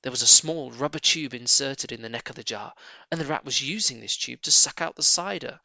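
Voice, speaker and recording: Male children's audiobook reader: expressive, sharp-toned, some gravel in the voice. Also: medium pitch, a little fast, no echo. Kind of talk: expressive children's storybook narration.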